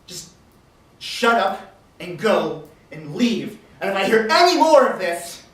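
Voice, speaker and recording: A woman's voice: medium pitch, big pitch swings, slow pace, a bit bright. Woman playing a stern town chief, very echoey. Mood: stern anger. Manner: emphatic, repetitive, threatening. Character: stern, towering town chief, angry and commanding. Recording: very echoey room, distant mic.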